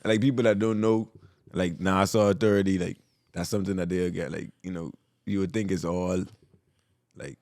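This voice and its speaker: A man's voice: low-pitched, steady tone, normal speed, darkish tone, no room sound, clean studio sound. A Bahamian man co-hosting a podcast, talking emphatically and casually, repeating his phrases. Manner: emphatic, repeats "real talk". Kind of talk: casual chat.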